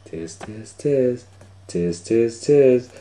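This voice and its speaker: A man's voice: mid-range voice, animated, very slow, dark tone, a little hiss or hum, voice pushed up. A man voicing a troop of teasing monkeys in a storybook, chanting a repeated nonsense sound. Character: mocking chattering tree monkeys.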